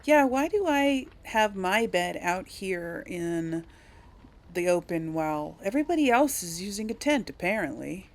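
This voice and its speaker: A woman's voice: fairly low pitch, big pitch swings, measured pace. A woman giving casual let's play commentary, chatting to her viewers and thinking aloud as she hosts. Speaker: host of a video game role-play series.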